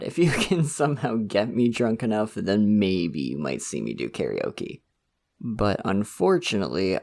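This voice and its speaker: Man playing bashful male college crush, ASMR roleplay, casual and rambling, awkwardly flirty, animated intonation, crackly vocal fry. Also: mid-range voice. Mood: playful, lighthearted. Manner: hesitant, rambling, chuckling self-consciously. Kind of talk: intimate ASMR roleplay, casual conversational acting.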